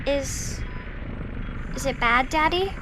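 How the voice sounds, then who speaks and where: A woman's voice: high voice, slow, noisy background. A woman playing an innocent, anxious young daughter in an audio drama, speaking with hesitant, childlike phrasing. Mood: worried.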